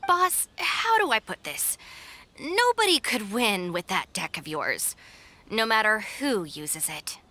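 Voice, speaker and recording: Bright, unimpressed young deputy. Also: female voice, medium pitch, big pitch swings, takes it slowly, full character voice. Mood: exasperated. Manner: dry, matter-of-fact, unimpressed. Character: sensible, exasperated young female gang deputy. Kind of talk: animated video game dub acting.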